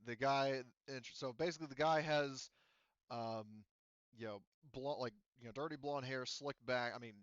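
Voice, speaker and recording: Guy gm-ing a tabletop rpg, kinda halting, making it up as he goes, clean smooth voice, no room echo. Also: medium pitch, lo-fi sound. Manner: halting, conversational, improvised narration. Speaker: game master. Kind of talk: narrating a tabletop roleplaying game.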